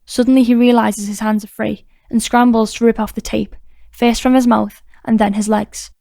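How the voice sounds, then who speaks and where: A woman's voice: a little high, flat delivery, normal speed, vocal fry, warm tone, no echo, studio quality. A woman reading scripted narration for an audiobook drama.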